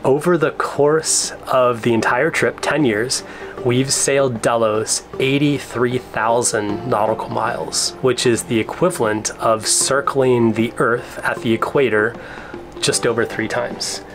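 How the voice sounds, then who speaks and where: A man's voice: mid-range voice, steady tone, measured pace, over music. An American man talking casually and explaining things in an easygoing way, as a sailing vlogger on a tour. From Arizona, United States. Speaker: sailing vlogger and boat owner.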